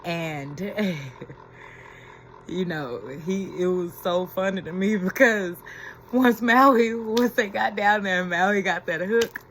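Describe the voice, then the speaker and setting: A woman's voice: medium pitch, slow pace, fairly bright tone, slight background noise. A woman giving a reflective inspirational talk as a spiritual healing coach, repeating key phrases and laughing as she retells a story.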